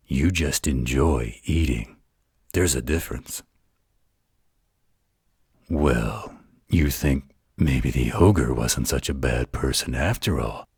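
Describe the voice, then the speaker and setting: A man playing a gentle, patient werewolf who tells a bedtime story in a soothing, tender manner. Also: a bass voice, breathy edge, no room sound, clean studio sound, exaggerated voice. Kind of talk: intimate bedtime roleplay storytelling.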